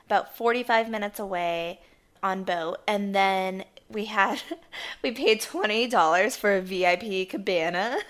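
A woman in her twenties co-hosting a podcast, a bit hoarse from losing her voice, telling stories in a chatty way and laughing often. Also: medium pitch, a little slow, a bit bright. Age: 20s. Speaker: podcast co-host. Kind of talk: casual chat.

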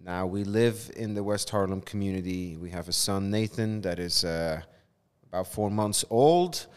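Low-voiced Swiss-born man in a reverberant space. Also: normal speed, clean tone. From Switzerland. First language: not English. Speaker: community engagement pastor, podcast guest. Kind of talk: conversational personal storytelling.

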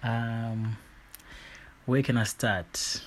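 A man's voice: mid-range voice, very slow. A young man from Zimbabwe hosting his own show, talking casually about his life with lots of fillers. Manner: relaxed, rambling, frequent fillers like "you know". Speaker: podcast host. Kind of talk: casual personal monologue.

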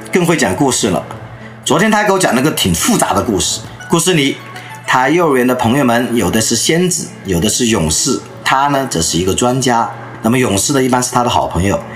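A man in his thirties or forties delivers a storytelling lecture with a lively voice whose pitch rises and falls widely. He draws listeners in as he tells his anecdotes. Soft music plays faintly underneath.

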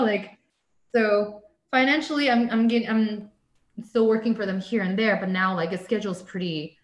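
Young american woman just chatting, lots of likes and ums, bit of room echo. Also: mid-range voice. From United States. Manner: rambling, lots of "like" and "um" fillers, laughs often. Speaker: guest artist. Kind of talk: casual interview chat. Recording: echoey room.

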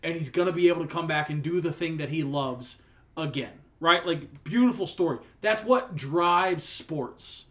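A man's voice: a little high, moderate pace, a bit bright. A young man co-hosting a sports podcast, teasing his friend and speaking earnestly about sports stories. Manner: teasing, then earnest and reflective. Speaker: podcast co-host. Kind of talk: casual sports chat.

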